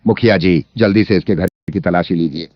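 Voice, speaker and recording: A man playing a cunning, greedy village landlord in an animated moral story, speaking with sly emphasis. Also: sits low, fairly quick, no echo. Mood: accusing. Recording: dull lo-fi sound under music.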